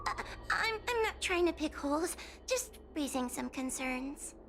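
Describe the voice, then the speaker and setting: A woman's voice: high voice, lively intonation, a little slow, noisy background. A woman voicing a timid, nervous young girl exorcist in a game cutscene, hesitant and apologetic as she talks. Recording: game cutscene with music behind.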